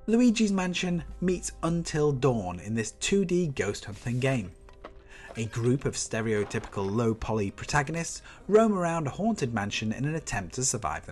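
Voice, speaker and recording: A man with a stuffy nose from a cold, reading an enthusiastic, upbeat scripted game roundup as a channel host. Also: medium pitch. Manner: enthusiastic, upbeat review delivery.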